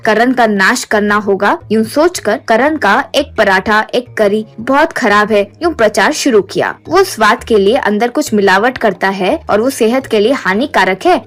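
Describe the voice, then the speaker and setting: A woman's voice: a little high, normal speed, hardly any pauses, faint music behind. Woman narrating a cartoon moral story. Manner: steady storytelling cadence.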